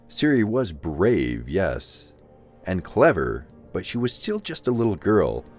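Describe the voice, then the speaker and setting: A man's voice: deep voice, darkish tone. A man narrating a scripted fantasy audio drama in a dramatic storytelling style.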